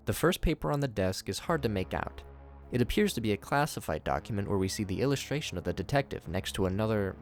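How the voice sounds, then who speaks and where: A man narrating scripted commentary as a video reviewer. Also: on the low side. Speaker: YouTube narrator reviewing horror series.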